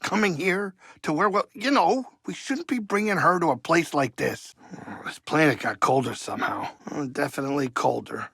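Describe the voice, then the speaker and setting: An actor playing a fussy, good-hearted middle-aged alien pilot and ship's cook in a video game, with a gravelly voice and a folksy, fretful, chatty manner. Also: male voice, a little high, expressive, moderate pace, a bit bright, no echo, full character voice. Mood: uneasy, subdued. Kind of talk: video game character acting.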